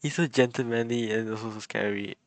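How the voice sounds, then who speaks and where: A man reacting to a game he is playing on stream, excitable and quick to laugh, rambling as he thinks aloud. His voice sits in a middle pitch. The sound is close and dry. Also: no echo. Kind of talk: casual gameplay commentary.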